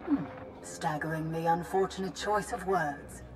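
A woman's voice: fairly low pitch, unhurried, close to an everyday voice. A woman playing a bold, ruthless Imperial admiral, music behind. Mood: icy sarcasm. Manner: commanding, formal, sardonic. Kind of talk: video game cutscene acting. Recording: orchestral game music behind.

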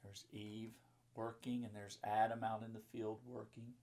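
A man's voice: fairly low pitch, level tone, measured pace, darkish tone. A man in his fifties, a pastor, reading a children's story and explaining it simply in chatty asides. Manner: simple explaining to young listeners. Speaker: pastor and children's book author. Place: indoor book-lined room at home or office.

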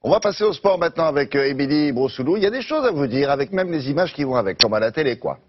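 A man's voice: fairly high pitch, lively intonation, rapid-fire, lo-fi sound. A man presenting a live morning TV show in a casual, offhand, conversational way. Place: TV studio.

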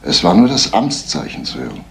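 An actor playing a methodical, insistent police detective in a retro German radio crime play, questioning with deliberate authority. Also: male voice, low-pitched, huge pitch swings, gravelly, a bit bright, full character voice. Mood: probing, insistent. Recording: noisy vintage radio play recording.

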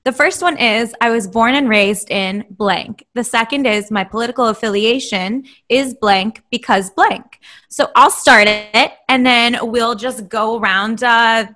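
Bubbly young female co-host, bright forceful voice, slightly high. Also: unhurried. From Cleveland, Ohio. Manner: bubbly, laughing. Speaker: podcast co-host. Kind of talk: casual panel chat. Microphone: studio mic with headphones.

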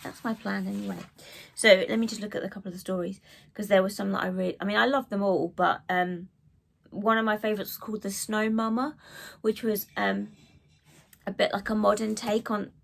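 A woman in her thirties with a cold and a croaky voice, chatting to camera about books she read, with lots of ums and asides. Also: medium pitch, level tone, fairly quick. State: hoarse from a cold. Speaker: book vlogger. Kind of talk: casual chat to camera. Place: living room with bookshelves.

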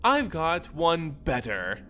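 Man putting on a cruel, slow, gloating vampire lady. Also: fairly high pitch, wildly swooping pitch, sharp tone, a little hiss or hum, heavily acted. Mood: sneering. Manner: menacing, gloating, drawn-out delivery. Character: cruel, sneering female vampire lord.

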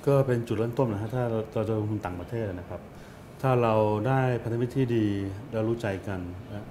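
An older man, a company director guest, speaking politely and deliberately in a studio talk show. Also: fairly low pitch, background noise. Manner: deliberate, polite, frequent particles. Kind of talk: measured business talk.

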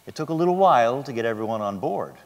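A man's voice: medium pitch, animated, fast, long reverb tail. A man giving scripted dramatic narration from a theatre stage.

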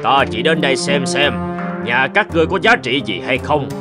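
A man's voice: medium pitch, lively intonation, normal speed, music in the background, close to an everyday voice. A man voicing a brash, cruel debt collector in a fairy-tale cartoon, sneering and threatening like a bully. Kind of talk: animated fairy-tale acting.

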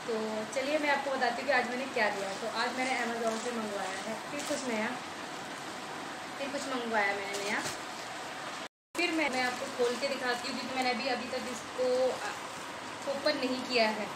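A woman's voice: on the high side, fairly even intonation, normal speed. A woman in her thirties chatting casually to camera as she unboxes and reviews an order. She rambles and repeats herself, and grows emphatic when she complains. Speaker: vlogger. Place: small tiled room indoors. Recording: echoey tiled room, loud background hiss.

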